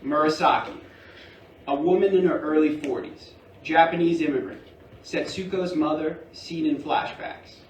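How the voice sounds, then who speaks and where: Higher-voiced man narrating, echoey and distant. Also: steady tone, moderate pace. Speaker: stage directions reader. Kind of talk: scripted narration at a staged play reading. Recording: roomy, distant mic, faint noise.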